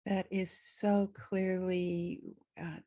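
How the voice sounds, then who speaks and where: Low-voiced woman hosting an interview. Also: flat delivery, very slow, warm tone, dry recording, clean studio sound. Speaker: podcast host and psychologist. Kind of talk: conversational podcast interview.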